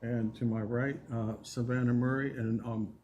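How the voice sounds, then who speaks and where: A man's voice: medium pitch, flat delivery, a little mellow, dull audio, a little hiss or hum. A man, the planning director, introducing his staff at a public meeting with plenty of fillers. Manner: unhurried, hesitant with fillers. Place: county meeting chamber.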